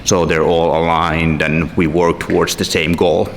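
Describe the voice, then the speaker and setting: Low-voiced Finnish man vlogging to camera. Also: flat delivery, creaky, a little hiss or hum. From Finland. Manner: earnest, thinking aloud with pauses and restarts. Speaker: agency founder and vlogger. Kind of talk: solo vlog talk to camera.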